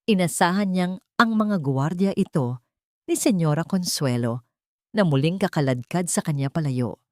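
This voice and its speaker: A woman's voice: deep voice, darkish tone, no room sound, studio quality. A woman with a Filipino accent reading a dramatic story as an audiobook narrator.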